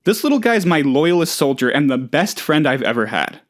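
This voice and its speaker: Naive, excitable oddball boss, swooping pitch. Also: male voice, normal speed. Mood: rambling, defensive. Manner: chatty, rambling, hesitant, earnestly gullible. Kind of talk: video game character dialogue.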